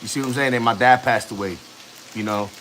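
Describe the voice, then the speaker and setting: A man's voice: medium pitch, fast, fairly bright tone, a little hiss or hum, poor audio. A man in his thirties, a family vlogger, chatting casually on a live stream in slang, rambling and chatty. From United States. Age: 30s.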